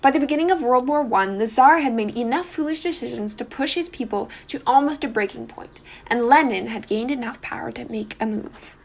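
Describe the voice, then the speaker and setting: Young female student narrator, high-pitched, scripted explainer delivery. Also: brisk, hardly any pauses.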